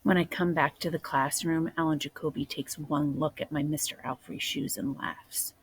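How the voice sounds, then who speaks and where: A woman reading a children's picture book aloud in a deliberate way, as a teacher does for young listeners. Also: sits low, steady tone, breathy edge, no echo. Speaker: storybook reader, teacher.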